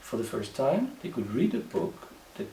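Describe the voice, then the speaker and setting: A Moroccan man, a native speaker of Moroccan Arabic, talking in English with a Moroccan accent in a halting interview monologue, full of fillers and restarts. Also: mid-range voice, sing-song, gravelly, mellow. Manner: hesitant, many fillers and restarts. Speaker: writer. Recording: echoey room, mic at a distance, faint background noise.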